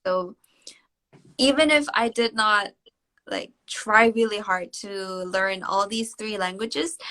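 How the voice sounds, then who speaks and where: A woman's voice: medium pitch, a bit bright, poor audio. A woman from Indonesia, a guest in a casual live-stream chat, who answers thoughtfully and hesitantly with many fillers and laughs often. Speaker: interview guest.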